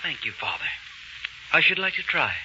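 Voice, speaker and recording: A man's voice: fairly high pitch, sing-song, moderate pace, music in the background. A man playing a modest, respectful village musician with a hesitant, polite manner in a 1940s radio drama. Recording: hissy muffled 1940s radio recording.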